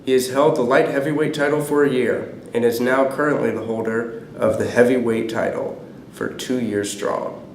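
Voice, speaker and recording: A man's voice: mid-range voice, fairly even intonation, normal speed, vocal fry. A teenage male reading scripted sports news as a student presenter, recorded in an echoey room with noise. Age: teens.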